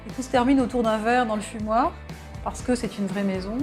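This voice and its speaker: A woman interviewer with a fairly high voice, asking her questions in a chatty and familiar way. Soft music runs in the background. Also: fairly quick.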